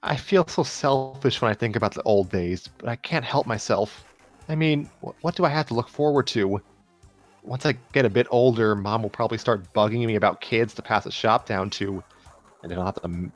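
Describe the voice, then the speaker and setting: A man voicing a wistful, self-doubting young woman, a former idol, in a halting story reading with stumbles and repeats. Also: fairly low pitch, faint music behind, close to an everyday voice. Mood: regretful. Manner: halting, repeats and restarts lines. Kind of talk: dramatic fan fiction reading.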